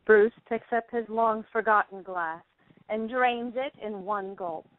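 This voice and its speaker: A woman's voice: mid-range voice, unhurried. A woman reading scripted stage directions as the narrator of an audio play. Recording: phone-like lo-fi line.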